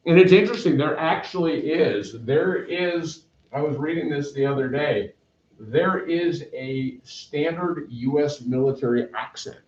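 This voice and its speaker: A man's voice: fairly high pitch, a little slow, a little mellow. A middle-aged man from Canada, a math teacher chatting casually and rambling to his class in a classroom, recorded by a camera across the room. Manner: rambling, self-deprecating, chatty. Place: classroom with whiteboard. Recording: roomy, dull classroom recording.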